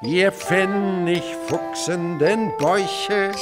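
A man's voice: sits high, over loud music. An actor in a German radio play playing an older male naturalist, dreamy and fond of insects, with a poetic, theatrical manner. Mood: slow mocking song. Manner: poetic, musing, theatrical, breaks into slow singing.

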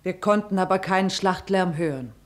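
An actress playing a cautious, fearful sister who recounts wartime terror in declamatory rhymed verse for a radio drama. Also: female voice, on the low side, moderate pace, natural voice. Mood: grave narration. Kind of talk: theatrical verse radio drama, narrating and in dialogue.

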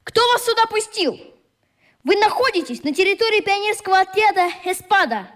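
A young boy captain in a radio drama. The voice is very high and animated. He is defiant and commanding, snapping out imperious orders. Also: fairly quick. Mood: controlled anger. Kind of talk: radio play acting.

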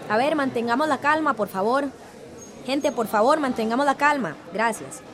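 A determined community leader in a radio drama. Her voice is high, and she speaks in a firm, orderly, appealing way as she chairs a village meeting. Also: animated, moderate pace, a crowd in the background.

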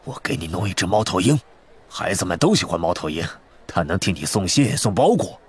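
A big, kindly giant from a storybook, acted out by a man. His voice is low, gruff and husky. He speaks bluntly, with animated swings in pitch. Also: a little fast, heavily acted. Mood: chatty, kindly. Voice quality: hoarse, gruff.

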